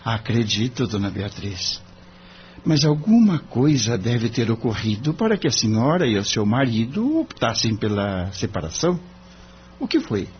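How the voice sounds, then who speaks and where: An actor with a Brazilian accent playing an earnest young lawyer, polite, formal and gently probing in an audio drama. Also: male voice, mid-range voice, big pitch swings, normal speed, close to an everyday voice. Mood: reassuring, probing. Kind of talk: audio drama acting in Portuguese. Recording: dull lo-fi audio with clear noise.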